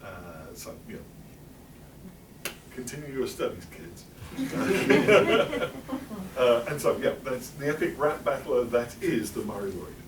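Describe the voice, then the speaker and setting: A man's voice: medium pitch, very animated, slow pace, background noise. A middle-aged English man giving a chatty, wry talk in a library, full of fillers and asides. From England. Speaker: presenter. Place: public library meeting room. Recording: roomy hall, speaker far from mic.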